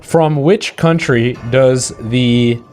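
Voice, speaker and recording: A man's voice: mid-range voice, very slow, a little mellow, a little hiss or hum. A man co-hosting a live stream, chatting casually and off the cuff. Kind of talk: casual chat, off-the-cuff quizzing. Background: music.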